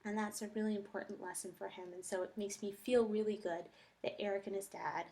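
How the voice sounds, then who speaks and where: Female teacher, mid-pitched, reflective, reading aloud and annotating her thoughts step by step. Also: fairly even intonation, brisk, dark tone. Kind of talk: think-aloud reading lesson, reading aloud and commenting.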